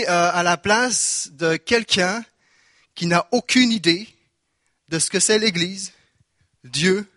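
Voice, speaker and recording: A man from Quebec with a Québécois French accent, preaching a lively sermon in a church, full of rhetorical questions and restarts. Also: high-pitched, no room sound, studio quality.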